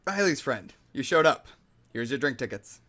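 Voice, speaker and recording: A man playing a brash, energetic, bossy stage illusionist in a table read. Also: mid-range voice, normal speed. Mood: brisk, boisterous.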